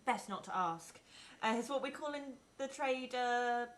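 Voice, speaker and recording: A woman's voice: a little high, a little slow. A woman playing a dry-witted investigator and reading stage directions at a live sitcom table-read. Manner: dry, deadpan wit. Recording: live theatre with faint noise.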